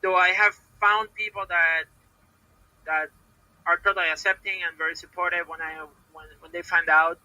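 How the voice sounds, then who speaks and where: Halting Puerto Rican woman, bright tense voice. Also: fairly low pitch, steady tone, no room sound, low-quality recording. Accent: Puerto Rican Spanish. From Puerto Rico. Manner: hesitant, halting, many repeated words and fillers. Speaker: professional wrestler, podcast guest. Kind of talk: casual interview answers.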